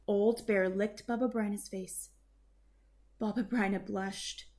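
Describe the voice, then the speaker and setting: A woman narrating a children's story in an expressive, deliberate storytelling manner. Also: medium pitch.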